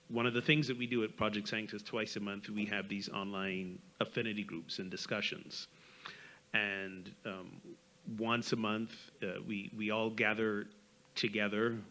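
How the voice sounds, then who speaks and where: A middle-aged man with a light Barbadian accent, speaking candidly and reflectively on a panel, in a halting way with repeated words. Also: on the low side, fairly bright tone, rough recording.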